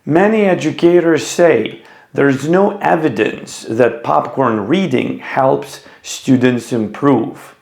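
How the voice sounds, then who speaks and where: Slow-paced man narrating, roomy echo. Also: mid-range voice, gravelly, darkish tone. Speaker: teacher presenting an educational video. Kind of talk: scripted explainer narration.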